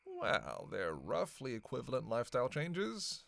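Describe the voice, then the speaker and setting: A man's voice: medium pitch, huge pitch swings, unhurried, creaky, a bit bright, no room sound, exaggerated voice. A man playing a sarcastic, teasing vampire in a casual roleplay monologue, needling playfully and hesitating as he goes. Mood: sardonic nitpicking. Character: sarcastic, teasing male vampire on a road trip.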